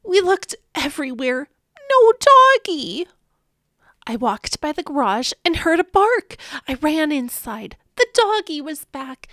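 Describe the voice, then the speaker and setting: A woman's voice: high voice, a bit bright, dry recording, studio quality. A woman hosting a read-aloud, narrating expressively and dramatically for small children. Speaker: storybook reader, channel host.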